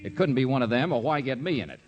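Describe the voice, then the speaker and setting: An actor playing a cocky, wisecracking private detective in a 1950s radio drama, slangy and sardonic. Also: male voice, mid-range voice, expressive, fast, muffled recording, lightly acted. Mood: tough, taunting.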